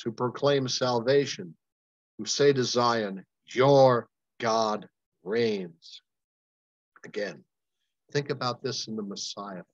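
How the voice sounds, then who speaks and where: An older man, a pastor leading a Bible study over a video call, preaching with emphatic, impassioned delivery and long pauses. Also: medium pitch, no room sound. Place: home room, indoors. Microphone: webcam on a video call. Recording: dull lo-fi webcam audio, dry.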